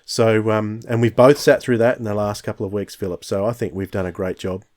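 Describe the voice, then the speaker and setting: A man's voice: on the low side, flat delivery, a little fast, a little mellow. A man co-hosting a podcast, chatting casually and rambling with many restarts. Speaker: podcast co-host. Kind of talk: casual chat.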